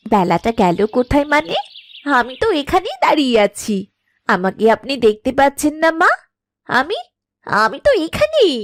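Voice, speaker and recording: A woman's voice: high-pitched, huge pitch swings, normal speed, no room sound, studio quality. A woman playing a work-shy young farmer's wife in a cartoon, with a whiny, scheming, exaggerated manner. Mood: puzzled. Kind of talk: animated moral-story cartoon dialogue.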